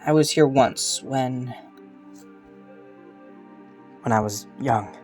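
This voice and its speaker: A man's voice: mid-range voice, drawn-out words, a little mellow, faint music behind. A man playing a haughty, teasing young crown prince in a romantic fantasy audio roleplay, suspicious yet intrigued, with a smug manner. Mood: teasing, guarded. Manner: teasing, smug, chuckling and sighing between phrases.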